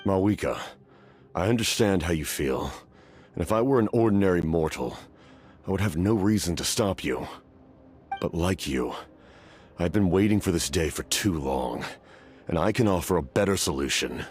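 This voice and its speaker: An actor playing a stoic, weary, centuries-old warrior captain in a video game, grave and deliberate. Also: male voice, deep voice, lively intonation, darkish tone. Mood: grave resolve. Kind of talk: scripted video game voice acting.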